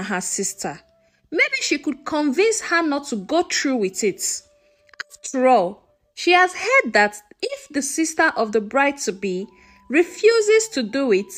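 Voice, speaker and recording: A woman telling a scripted folktale as a storyteller, in a measured, expressive and dramatic way. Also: high-pitched. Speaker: storyteller narrator.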